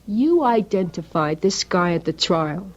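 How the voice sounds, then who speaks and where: An actress playing a cynical, fearful working-class city wife, insistent, scornful and colloquial, in a radio drama. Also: female voice, fairly low pitch, animated, unhurried. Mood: insistent warning. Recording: dull lo-fi radio recording.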